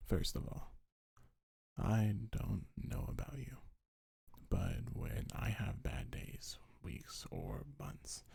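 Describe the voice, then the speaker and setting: Male ASMR voice for comforting affirmations: low, gentle and unhurried, breathy half-whisper with heavy gravelly creak, close-miked. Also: no room sound. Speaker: ASMR creator. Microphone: close microphone, dry.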